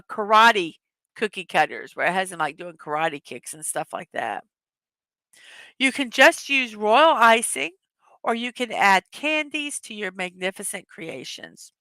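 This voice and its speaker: Hoarse chatty woman with a bright voice. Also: on the high side, dry recording, low-quality recording. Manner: chatty, reads chat messages aloud, laughs often. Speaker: livestream host. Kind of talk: casual morning livestream chat.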